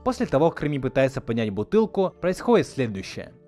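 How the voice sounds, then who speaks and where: A man hosts a scripted video essay. His mid-pitched voice moves up and down in a lively way as he narrates, with soft music underneath. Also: fast. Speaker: video host.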